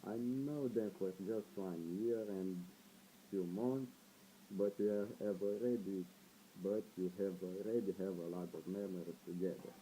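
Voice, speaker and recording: Shy Romanian teen boy, slow and soft. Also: low-pitched, noisy background. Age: teens. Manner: shy, hesitant with many fillers. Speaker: vlogger. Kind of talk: casual video blog.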